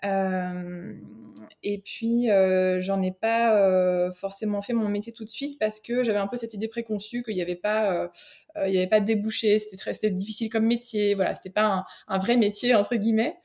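A woman from France with a French accent, an interior designer speaking as a guest, talking haltingly with many fillers and restarts. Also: medium pitch, fairly even intonation, darkish tone. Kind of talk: casual interview.

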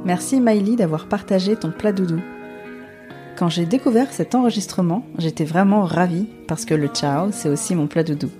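A woman's voice: on the low side, moderate pace, over music. A woman from France hosting a podcast in a scripted, narrated style. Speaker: podcast host.

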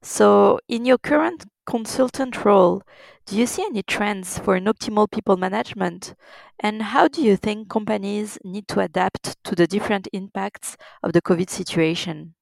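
A woman's voice: medium pitch, moderate pace, vocal fry, no echo. A woman hosting a podcast, reading a formal, polite introduction and asking interview questions. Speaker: podcast host.

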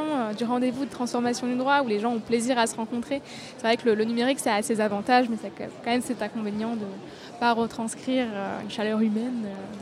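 A woman's voice: a little high, darkish tone. A woman interviewing a guest at a busy trade fair, asking long winding questions with many hesitations. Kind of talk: event interview. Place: busy trade fair, outdoors or large hall. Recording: crowd noise behind. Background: crowd chatter.

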